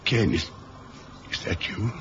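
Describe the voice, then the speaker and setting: An actor playing a weary, dying agent in a 1970s radio drama, halting and breathy as he struggles for breath. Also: natural voice. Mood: weak, fading. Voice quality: breathy, croaking. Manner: halting, laboured speech. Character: dying, weary male agent, weak and fading. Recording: dull, noisy old radio recording.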